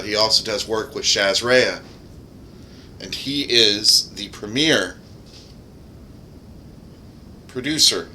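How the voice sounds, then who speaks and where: A man talking casually as a game streamer, in an informal, halting way, often stopping to rephrase. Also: medium pitch, sharp tone, a little hiss or hum.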